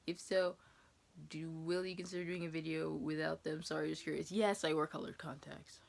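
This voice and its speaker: Creaky low-voiced young American woman. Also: steady tone, normal speed. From New England, USA. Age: 20s. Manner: rambling, hesitant, thinking aloud. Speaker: vlogger answering viewer questions. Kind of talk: casual question-and-answer chat, reading questions aloud.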